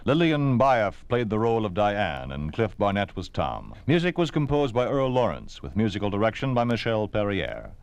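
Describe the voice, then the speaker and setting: A man's voice: fairly low pitch, sing-song, normal speed, hardly any pauses, dry recording. An American man announcing from a script in formal, solemn, emphatic 1950s radio style. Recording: hissy, noisy 1950s radio recording.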